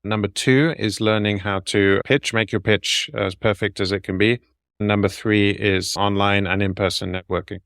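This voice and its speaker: A middle-aged man answering interview questions in a measured, explanatory way, laying out his advice point by point. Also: on the low side, level tone, gravelly, fairly bright tone, no echo, clean studio sound. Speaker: guest, medical translator.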